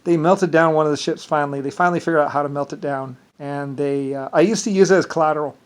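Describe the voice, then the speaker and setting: A man's voice: fairly high pitch, steady tone, quick pace, clean tone. A man with an Irish accent delivering a rambling, digressive solo monologue with self-assured claims.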